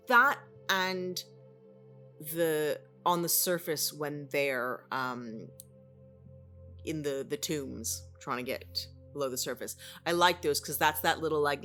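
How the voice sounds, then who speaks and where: A woman's voice: low voice, a bit bright. A woman chatting casually as a voice actor on a podcast.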